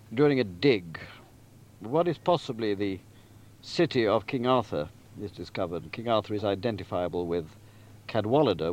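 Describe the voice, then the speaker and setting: A man giving a deliberate, emphatic and articulate spoken summary of a story, like a novelist outlining a book. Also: lowish voice, a little mellow. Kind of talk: spoken plot summary, literary talk.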